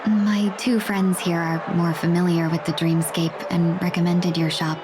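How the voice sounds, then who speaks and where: A woman voicing a polite, gentle young traveller in an anime-style video game, speaking in a courteous, well-spoken way. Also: lowish voice, flat delivery, measured pace, over music, close to an everyday voice. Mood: courteous, pleased.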